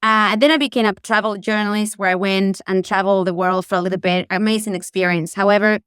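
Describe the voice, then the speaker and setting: A woman's voice: medium pitch, steady tone, quick pace, fairly bright tone, clear, resonant tone, dry recording, studio quality. A woman in her thirties from Chile with a Chilean Spanish accent, presenting a webinar eagerly with many fillers over a video call. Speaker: tourism sustainability presenter.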